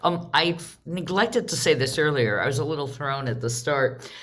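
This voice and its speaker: A woman moderating a live online discussion about theater, speaking in a measured, reflective way. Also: deep voice, expressive, a bit bright.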